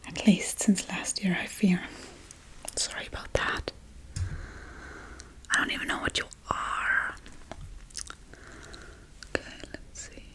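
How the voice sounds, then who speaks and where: Woman playing spooky ASMR witch: breathy whisper, very unhurried, curious, gently teasing, murmuring to herself. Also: fairly low pitch, little pitch movement, rough recording. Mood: apologetic, curious. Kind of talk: soft-spoken ASMR role-play.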